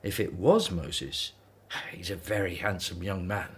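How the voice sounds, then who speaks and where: A man's voice: deep voice, fairly even intonation, unhurried, fairly bright tone, slightly rough, full character voice. An actor in a radio drama playing a weary, aging Hebrew slave father who is patient, earnest and devout. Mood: hesitant, wondering.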